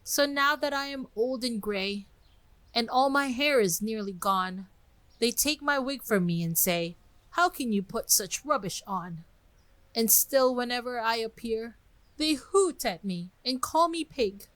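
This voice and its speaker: Querulous and blunt, this is an old gray wasp from a storybook, acted out by a woman. The pitch swings about in a lively way as he grumbles in plain rustic speech, captured close and dry. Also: unhurried, no echo. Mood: plaintive, reciting. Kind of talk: dramatic storybook reading with recited verse.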